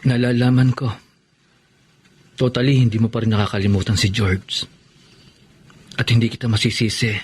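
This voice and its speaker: An actor playing an ardent, earnest and slightly insecure young suitor in a heartfelt Filipino radio drama style. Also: male voice, medium pitch, flat delivery, normal speed, long pauses, husky, lightly acted. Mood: vulnerable, pleading. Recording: faint background ambience.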